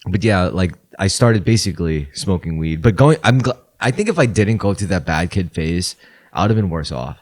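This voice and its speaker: A man's voice: deep voice, lively intonation, moderate pace, darkish tone. A man with a New York accent, co-hosting a podcast in rambling, profane casual chat. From New York, USA. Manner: rambling, profane, laughs often. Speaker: podcast co-host.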